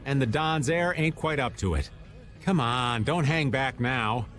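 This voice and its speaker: An actor playing a laid-back, folksy, teasing middle-aged rogue in a video game. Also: male voice, mid-range voice, unhurried, a bit bright, close to an everyday voice. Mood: casual, frank.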